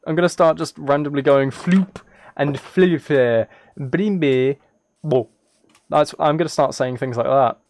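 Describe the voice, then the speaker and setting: A man's voice: on the high side, slow pace, darkish tone, no echo. A British man streaming a video game, chatting casually in a rambling, self-deprecating and apologetic way. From United Kingdom. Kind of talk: casual livestream chat while gaming.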